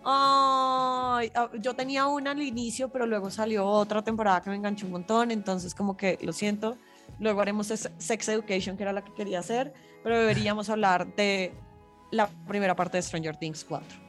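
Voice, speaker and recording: A woman's voice: medium pitch, steady tone, a little fast, a bit bright, soft background music. A woman with a Colombian accent co-hosting a podcast in casual, informal conversation as she gives her review. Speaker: podcast co-host.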